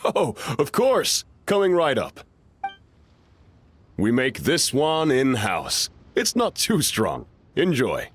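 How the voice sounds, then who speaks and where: A friendly restaurant owner. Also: male voice, medium pitch, huge pitch swings, takes it slowly, husky, full character voice. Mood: hospitable. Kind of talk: game dialogue.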